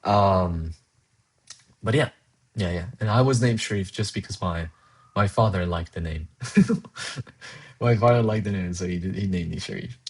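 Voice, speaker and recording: A man's voice: low voice, unhurried, long pauses, husky. A young man from Colombia whose first language is Spanish, chatting casually while coding on a live stream, rambling with fillers and restarts and laughing now and then. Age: young adult. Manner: rambling, thinks aloud with many fillers and restarts; laughs often, reads chat aloud. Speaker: livestreaming programmer.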